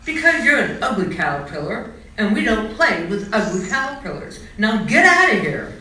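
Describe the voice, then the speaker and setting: Woman as snobbish little storybook animals, bright, echoey. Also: mid-range voice, very animated, moderate pace. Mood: scornful. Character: snobbish playground-bully small animals, rabbits and mice. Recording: echoey room, distant mic, faint noise.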